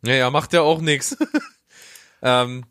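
A man's voice: medium pitch, fairly even intonation, takes it slowly, a bit bright, clear, resonant tone, no echo, studio quality. A man chatting casually as a podcast panelist in colloquial, slangy German. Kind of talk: casual chat.

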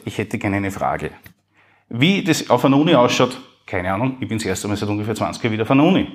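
Nervous low-voiced male podcast host. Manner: nervous, rambling, self-deprecating. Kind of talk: live podcast introduction. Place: university hall before a live audience.

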